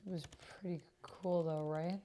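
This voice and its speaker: A woman streamer chatting casually and reading typed roleplay aloud, sometimes halting as she types along. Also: on the low side, fairly even intonation, warm tone, slight background noise. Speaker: streamer playing an online roleplaying game.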